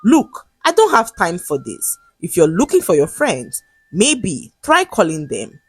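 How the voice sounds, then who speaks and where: A woman's voice: lowish voice, wildly swooping pitch, unhurried, slightly rough, no echo, exaggerated voice. Woman playing a haughty, curt rich young woman. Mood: dismissive. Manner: curt, dismissive. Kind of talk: dramatic storytelling dialogue.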